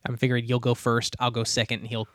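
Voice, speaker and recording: The quick-talking player at a weekend game night, a man planning moves right up at a dry mic. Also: mid-range voice, completely flat delivery, fairly bright tone, no echo. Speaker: tabletop role-playing player. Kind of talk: casual in-game chat.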